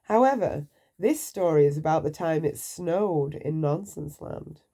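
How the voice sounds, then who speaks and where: A woman storyteller reads aloud for children at an unhurried pace. Her voice is mellow and a touch low, with animated, playful intonation. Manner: playful, asks the listener questions. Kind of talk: read-aloud bedtime story narration.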